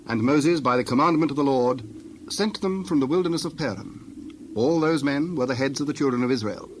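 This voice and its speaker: A man's voice: medium pitch, a little fast. Solemn male scripture narrator, mid-range voice. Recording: clear background noise with birds.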